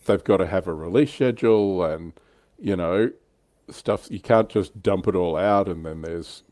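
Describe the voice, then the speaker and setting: A man with a low-pitched voice hosts a relaxed panel chat. His tone is dark and mellow, and the sound is close and dry. He speaks informally, like a regular presenter among fellow hobbyists. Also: measured pace, dry recording. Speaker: host and reviewer on a tabletop wargaming livestream.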